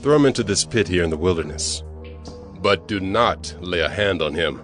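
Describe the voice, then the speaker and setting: An actor playing a protective elder brother in a dramatised Bible reading. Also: male voice, sits low, expressive, moderate pace, a bit bright, faint music behind. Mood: urgent, firm. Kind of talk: Bible audio drama acting.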